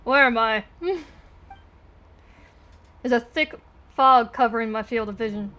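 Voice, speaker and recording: A woman's voice: a little high, fairly even intonation, unhurried, sharp tone. A woman livestreaming a video game, mixing informal reactions with lines read aloud from the screen. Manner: informal, swears freely.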